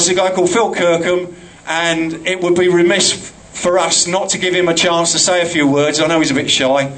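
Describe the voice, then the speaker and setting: A man's voice: high voice, a bit bright, low-quality recording. Rambling Cockney man giving thanks. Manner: rambling, earnest, self-deprecating. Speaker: project organiser giving a speech. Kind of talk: thank-you speech at an opening. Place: community studio opening event.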